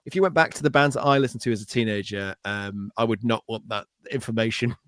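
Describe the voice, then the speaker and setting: A man's voice: lowish voice, fast, a bit bright, no echo. A man from Anglesey with a Welsh accent, Welsh his first language, chatting as a podcast guest in a rambling, self-deprecating way full of filler words. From Wales (Anglesey). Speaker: guest, pedal maker. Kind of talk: casual podcast chat.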